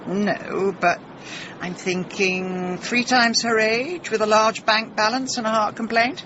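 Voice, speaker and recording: An actress playing a blunt, sardonic middle-aged British amateur sleuth in a radio comedy drama. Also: female voice, medium pitch, fairly even intonation, slow pace, lo-fi sound, background noise, close to an everyday voice. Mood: catty. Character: brisk, nosy, tart-tongued female amateur sleuth.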